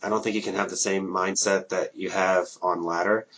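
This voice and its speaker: A man hosting a podcast from home, talking in a relaxed, hesitant way with many ums. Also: low voice, moderate pace, slightly rough, dull audio. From Minnesota. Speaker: podcast host. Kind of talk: casual interview.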